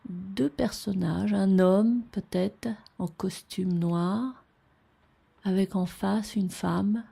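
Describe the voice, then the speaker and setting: Very slow, husky, halting woman. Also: medium pitch, fairly even intonation, dark tone. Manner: halting, tentative, thinking aloud. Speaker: describer of old photographs. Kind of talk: unscripted spoken description of a photo.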